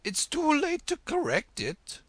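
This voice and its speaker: High, loud, domineering storybook queen; slow, overbearing delivery. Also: huge pitch swings, no echo, heavily acted. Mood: imperious. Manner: commanding, drawn-out emphatic words. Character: imperious proud queen, bossy and loud.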